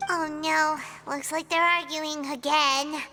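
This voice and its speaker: A woman's voice: steady tone, slow pace, bright, forceful tone, full character voice. A woman voicing a tiny, chirpy, childlike fairy companion in an anime game. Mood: concerned. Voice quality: squeaky, childlike. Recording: music behind the voice. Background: game music.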